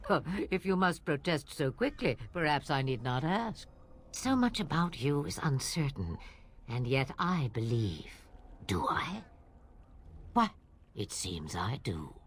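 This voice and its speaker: A sly old witch, riddly and teasing, pitch swooping around, slow. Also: sing-song, slight background noise, heavily acted. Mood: probing. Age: older. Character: cryptic old swamp witch, mocking and sly.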